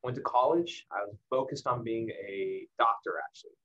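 An American man with a mid-pitched voice speaks as a guest on an online talk. He is candid and conversational, pausing for emphasis as he motivates young professionals. The audio is a little dull and lo-fi. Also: a bit bright. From El Paso, Texas, United States. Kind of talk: casual motivational talk to students. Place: indoors in the evening, online livestream.

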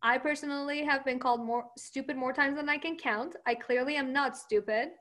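A woman's voice: sits high, fairly quick, a bit bright. A woman whose first language is Persian, answering interview questions in a confident, upbeat way and laughing often.

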